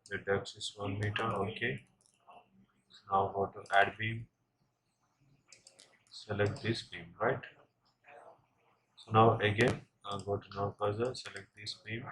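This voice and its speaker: A man giving a step-by-step software tutorial in a halting way, often checking in with the listener. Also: fairly low pitch. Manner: halting, with frequent check-in tags. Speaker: software instructor. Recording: noisy, with keyboard typing and clicks.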